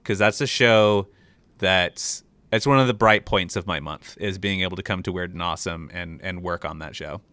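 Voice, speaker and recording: A man's voice: deep voice, normal speed, bright, forceful tone, no echo. A man hosting a live stream, making casual announcements in an upbeat, slangy, informal way. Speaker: stream host announcing upcoming shows.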